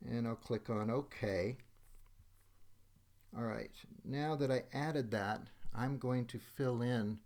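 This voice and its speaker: Methodical man narrating a software tutorial. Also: medium pitch. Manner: methodical, announcing each step as he goes. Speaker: software demonstrator. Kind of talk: step-by-step screen tutorial narration. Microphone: voice-over on a screen recording.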